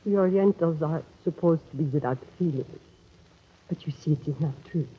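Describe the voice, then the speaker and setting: Sorrowful pleading young woman, very breathy husky voice, careful formal phrasing, muffled vintage radio recording. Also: deep voice, fairly quick. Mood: distressed, pleading. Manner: pleading, formal speech without contractions. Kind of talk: old-time radio drama acting.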